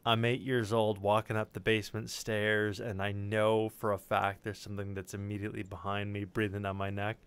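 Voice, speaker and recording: A man's voice: lowish voice, little pitch movement, a little fast, few pauses, fairly bright tone, clean tone. A man chatting casually on a livestream voice call, enthusiastic and slangy, prone to swearing.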